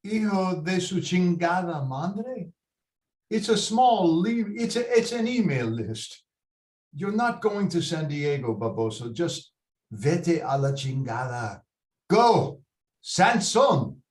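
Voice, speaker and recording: An exasperated Mexican patriarch, acted by a man in a staged play read, bossy yet doting. The voice sits fairly high and swings widely at a slow pace, mixing Spanish and curses into a roomy video-call sound. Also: very animated, full character voice. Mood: bossy, irritated. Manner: exasperated, code-switching into Spanish, swearing. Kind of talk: table read of a comic Shakespearean-style play. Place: indoor room, on a video call.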